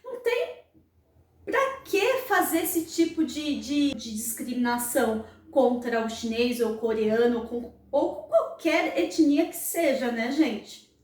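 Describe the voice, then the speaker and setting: A woman's voice: sits high, sing-song, slow pace, a little mellow. A Brazilian woman hosting her own channel, explaining earnestly and often checking in with tag questions. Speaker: channel host. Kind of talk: explanatory vlog talk. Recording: roomy echo, mic at a distance.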